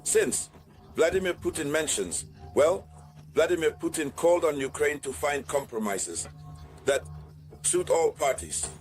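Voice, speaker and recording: A man hosting an online political news analysis, speaking deliberately to viewers and repeating key phrases. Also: a little high, expressive, soft background music.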